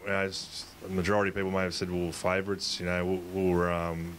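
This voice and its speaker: Low, flat-voiced football coach. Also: male voice, a little hiss or hum. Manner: halting, many fillers and restarts. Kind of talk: post-match interview.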